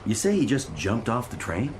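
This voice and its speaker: An actor playing a matter-of-fact, resigned responder in a radio drama, gruffly practical in manner. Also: male voice, lowish voice, sing-song, moderate pace, background noise.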